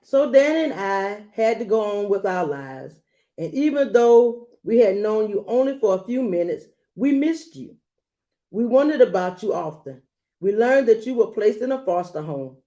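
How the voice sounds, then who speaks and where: A woman reading a children's picture book aloud, adding gentle explanatory asides for young listeners. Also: medium pitch, lively intonation, normal speed. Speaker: read-aloud storyteller.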